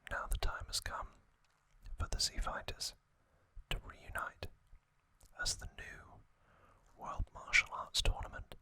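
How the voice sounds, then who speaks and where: An ASMR host whispering a gentle, measured recap of a story, softly and without voice. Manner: soft unvoiced whisper throughout.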